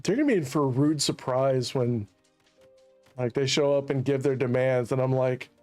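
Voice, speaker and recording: A man's voice: medium pitch, normal speed, darkish tone. Streamer, male, from Central Virginia; rambling joker, faint music underneath. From Central Virginia, USA. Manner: rambling, chatty, laughs at his own jokes. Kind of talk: casual gameplay commentary.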